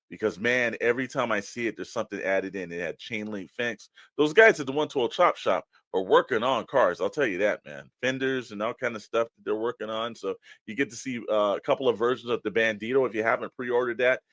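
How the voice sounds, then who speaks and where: A man hosts a casual podcast in a dry, close-sounding recording with a medium pitch. He talks straight to the listeners, pitching things in a loose, conversational style. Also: no echo. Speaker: podcast co-host. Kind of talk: casual promotional podcast chat.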